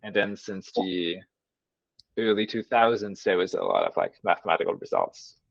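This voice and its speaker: A man in his thirties from Switzerland with a German accent, a guest chatting casually in an interview, thoughtful and halting with frequent restarts. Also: low-pitched, huge pitch swings, creaky, no room sound, muffled recording. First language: Swiss German. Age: 30s. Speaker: guest mathematician.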